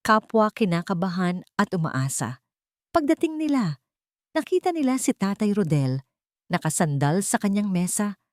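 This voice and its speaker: A woman's voice: medium pitch, big pitch swings, moderate pace, no room sound, studio quality. A woman reading a scripted romantic drama as a story narrator.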